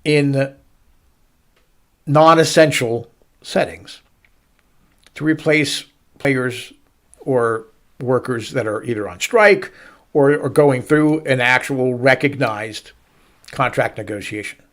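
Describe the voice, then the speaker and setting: A middle-aged man hosting a baseball show, telling a story to listeners with emphatic, dramatic pauses and stretched-out words. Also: medium pitch, a bit bright. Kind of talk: storytelling sports commentary to listeners.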